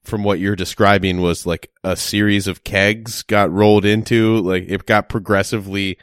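A man's voice: lowish voice, little pitch movement, moderate pace, smooth, no echo, clean studio sound. A young man in casual chat, joking in a laid-back way with lots of fillers.

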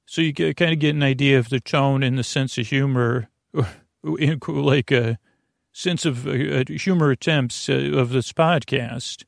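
A man hosting a sleep podcast, rambling informally through an introduction in a halting, meandering way, often repeating himself and trailing off mid-sentence. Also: medium pitch, gravelly, no echo, studio quality. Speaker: podcast host.

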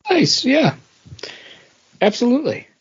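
A man's voice: very high voice, sing-song, measured pace, slightly rough. A man co-hosting a podcast in a casual, conversational style. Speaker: podcast co-host. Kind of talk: casual chat. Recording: dull, lo-fi podcast audio.

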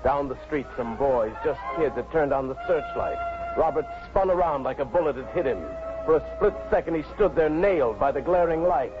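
An actor playing a sober, grave storyteller, narrating a 1950s radio drama in a deliberate way. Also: male voice, a little high, lively intonation, close to an everyday voice. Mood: tense, dramatic. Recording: muffled radio recording, music behind.

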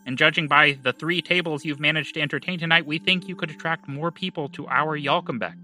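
Male actor for a pretentious, earnest local historian in audio comedy; piercingly bright, slightly high voice, stammering and politely superior. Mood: stagey, persuasive. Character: earnest small-town historian with dramatic pretensions. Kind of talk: comedic audio drama dialogue.

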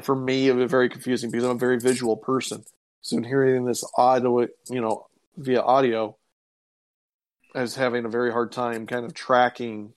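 A man co-hosting a casual chat, thinking aloud and puzzling things over in a conversational way. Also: medium pitch. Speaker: podcast co-host. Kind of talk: casual chat reviewing old radio serials.

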